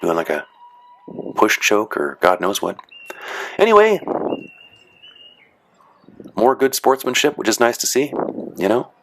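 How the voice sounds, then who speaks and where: Low-voiced rambling referee commentator. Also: male voice, wildly swooping pitch, measured pace, pauses often, noisy background. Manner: rambling, chatty, frequent "you know" and "uh"; dry joking asides. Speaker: referee narrating match footage. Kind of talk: casual commentary.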